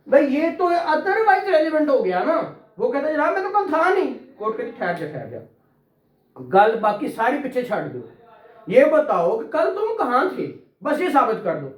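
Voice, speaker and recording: A man's voice: very high-pitched, huge pitch swings, a little slow. A man from Pakistan with a Punjabi accent teaches a law class, talking conversationally and putting questions to his students. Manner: conversational, questioning, acts out courtroom exchanges. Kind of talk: interactive classroom lecture. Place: indoor classroom. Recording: echoey room, distant mic.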